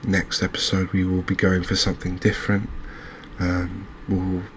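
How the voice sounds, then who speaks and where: A soft-spoken man narrating a gaming recap in a calming, hesitant ASMR style. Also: sits low, normal speed, a little hiss or hum. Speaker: host of a cozy gaming channel.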